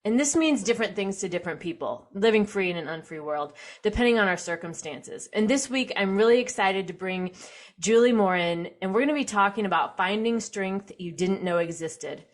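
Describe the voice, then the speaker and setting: A woman hosting a podcast, reading her intro then backing her guest with steady affirmations. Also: medium pitch, brisk. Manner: affirming, frequent mm-hmms. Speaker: podcast host. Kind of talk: scripted intro then casual interview.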